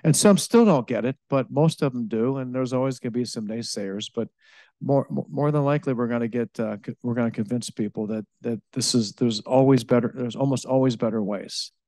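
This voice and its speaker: Mellow-voiced man answering questions with halting restarts. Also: mid-range voice, no room sound. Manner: halting, with many fillers, restarts and a tag "okay?". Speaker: utility innovation director answering audience questions. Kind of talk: live presentation Q&A. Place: live event with audience.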